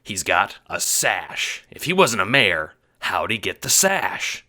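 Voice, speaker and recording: A man performs a disgraced lawman turned lone wolf for an audio drama. He is touchy and blustering, with a bright, forceful edge to his blunt speech. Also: on the low side, sing-song, slow, pitched above the performer's own voice, heavily acted. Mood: defensive. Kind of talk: audio drama character acting.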